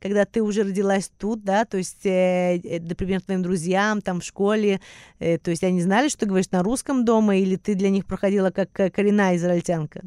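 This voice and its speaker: A woman's voice: medium pitch, fairly even intonation, a little fast, mellow, clear, resonant tone, dry recording, studio quality. A woman hosting a podcast in a conversational interview style. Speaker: podcast host.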